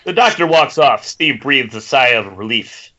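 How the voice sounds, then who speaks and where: A man's voice: medium pitch, lively intonation, moderate pace, highs cut off, noisy background. A man narrating the stage directions of a screenplay at a table read over an internet call. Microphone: internet video call.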